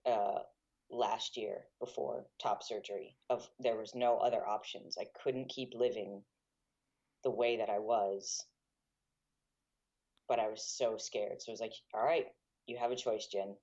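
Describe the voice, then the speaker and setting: A man's voice: fairly low pitch, fairly even intonation, a little slow, darkish tone. Candid young man on muffled call audio. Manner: frank and open, laughs easily, self-deprecating. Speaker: guest, guitarist and guitar teacher. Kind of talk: candid casual interview talk.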